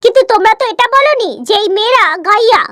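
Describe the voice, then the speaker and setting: A woman's voice: very high voice, fast talker, a bit bright, dry recording, studio quality. A woman voicing a snobbish, scolding middle-aged mother-in-law in a cartoon. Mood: scornful. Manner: haughty, scolding.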